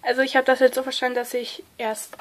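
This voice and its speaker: A young woman with a stuffy nose from a cold chats at a table, her voice sitting a bit high. She hesitates often and fills pauses with little sounds, getting excited as she talks. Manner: chatty, hesitant, many fillers, excited. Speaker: vlogger opening a card-swap letter. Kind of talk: casual unboxing chat, reading letters aloud. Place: indoors at a table, hands-only overhead view.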